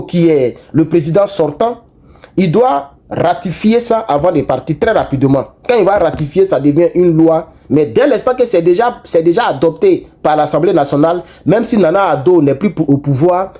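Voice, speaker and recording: Male online commentator, talks straight to viewers, lively and repetitive, mellow tone. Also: fairly high pitch. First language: not French. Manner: animated, repetitive, frequent restarts and fillers. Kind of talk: casual political commentary to viewers.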